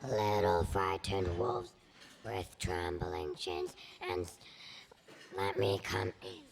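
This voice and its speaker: Big bad pig villain someone acting it, super deep n creaky, slow threats, kids storybook play. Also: fairly even intonation, heavily acted. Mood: menacing. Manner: slow, drawn-out menacing delivery. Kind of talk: children's reader's theatre stage performance.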